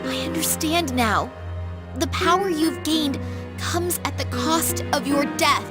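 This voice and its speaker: An actress playing a desperate, devoted younger sister in an English anime-style video game, with an emotional, pleading manner. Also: female voice, high voice, slow pace, loud music behind the voice. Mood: earnest, confessing.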